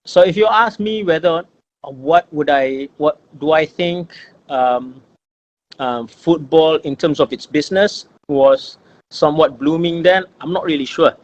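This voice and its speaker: A man's voice: a little high, measured pace, highs cut off, slight background noise. A man in his forties from Malaysia, a guest in an interview, talking in a thoughtful way with many fillers and repeated phrases. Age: 40s. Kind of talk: casual interview.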